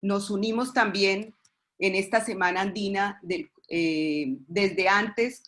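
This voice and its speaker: Female expert presenter, Colombian accent, lowish pitch, formal webinar register, halting with false starts. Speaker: expert presenter at a webinar, representing a pediatric committee.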